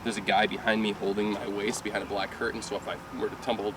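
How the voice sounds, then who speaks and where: A young man from Michigan with a lowish voice tells loose, rambling stories, laughing and restarting as he goes. He stands a little off from the mic, and loud street traffic roars around him outdoors. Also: little pitch movement, quick pace, far from the mic. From Michigan, USA. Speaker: rock band singer. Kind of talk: casual interview chat.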